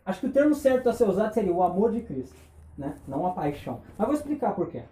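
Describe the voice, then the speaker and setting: A man preaching a sermon, impassioned, firing rhetorical questions and dropping between loud and hushed. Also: high voice, big pitch swings, fast talker, roomy sound. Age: 20s.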